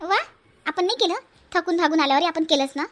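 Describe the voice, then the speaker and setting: A woman's voice: extremely high pitch, fast talker. A woman voicing an outspoken, sarcastic younger sister-in-law in a family cartoon, blunt and emphatic, with a Varhadi Marathi accent. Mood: sarcastic, indignant. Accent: Varhadi Marathi from Vidarbha. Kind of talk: homely family cartoon voice acting.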